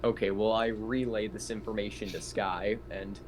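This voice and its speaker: A man with a fairly low voice chats casually as a player in a tabletop game. He thinks aloud in a tentative way, over faint background noise. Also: fairly even intonation, normal speed.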